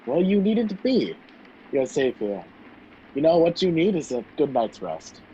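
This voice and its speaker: Earnest gentleman hero on muffled audio man acting. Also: a little high, sing-song, measured pace. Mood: polite, easygoing. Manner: polite, hesitant, earnest. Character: gentlemanly male hero caught in a spy intrigue. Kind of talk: old-time radio play acting. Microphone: video call. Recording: dull, noisy video call audio.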